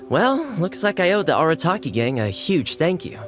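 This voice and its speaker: A man's voice: a little high, sing-song, measured pace. A man voicing a friendly, caring and easygoing young man in an anime game. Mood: cheerful. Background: music.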